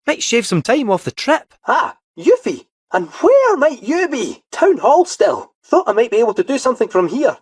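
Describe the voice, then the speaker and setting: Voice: medium pitch, wildly swooping pitch, normal speed, hardly any pauses, slightly rough, dry recording, studio quality. An actor voicing a jaunty, theatrical robot cat with a Scottish accent in a video game, helpful, folksy and a little fussy. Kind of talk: animated video game character acting.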